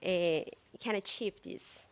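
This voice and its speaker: A woman's voice: lowish voice, takes it slowly, clean tone. A woman moderating a panel, putting questions formally to a speaker.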